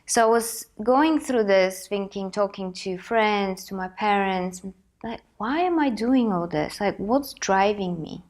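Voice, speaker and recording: A woman's voice: mid-range voice, a little slow. A woman in her thirties from Bulgaria hosting a personal motivational talk, speaking earnestly and reflectively while she has a cold. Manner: earnest, reflective, thinking aloud. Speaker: host.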